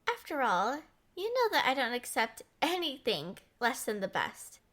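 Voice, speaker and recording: A woman's voice: high-pitched, wildly swooping pitch, a little slow, a bit bright, exaggerated voice. A woman playing a smitten, possessive young princess in a romantic roleplay audio, coy, entitled and playfully defensive. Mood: haughty, pleased.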